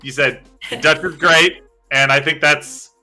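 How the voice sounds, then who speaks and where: Upbeat male host with a bright, somewhat high voice, faint music behind. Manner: excitable, laughing, quick asides. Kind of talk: casual video chat.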